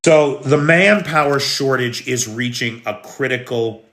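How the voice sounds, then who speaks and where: A slow-talking American man hosting a live news stream, with a bright, forceful edge to his voice. He takes his time, answering viewers' comments as he goes. His voice rings in an echoey room. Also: medium pitch. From United States. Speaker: news livestream host. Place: room in Kyiv during air alert.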